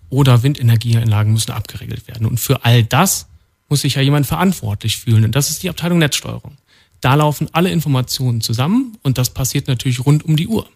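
A man from North Rhine-Westphalia, a department head being interviewed in a radio studio, explaining things in a calm, matter-of-fact way. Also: medium pitch. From North Rhine-Westphalia, Germany.

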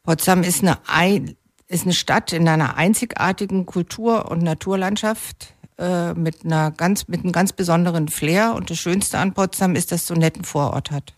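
A woman's voice: lowish voice, moderate pace, no room sound, studio quality. A woman from Berlin answering interview questions on the radio as a political candidate. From Berlin, Germany. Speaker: mayoral candidate being interviewed.

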